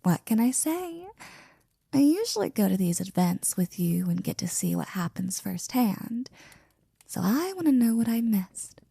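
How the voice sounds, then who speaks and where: Woman playing a breathy, slow-talking flirty maid. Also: medium pitch, big pitch swings, mellow, no room sound, exaggerated voice. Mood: curious, gossipy. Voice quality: breathy, often near-whisper. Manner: teasing, affectionate, slow and drawn out. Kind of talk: intimate ASMR roleplay.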